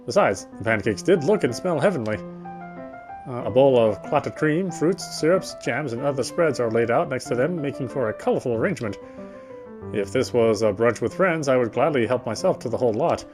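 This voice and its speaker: A man's voice: mid-range voice, expressive, a little fast. A man playing a visual novel and reading its narration aloud as he goes. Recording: game music behind the voice.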